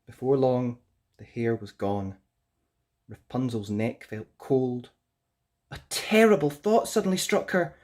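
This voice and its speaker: A woman narrating a children's fairytale as an expressive storyteller. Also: extremely low pitch, unhurried.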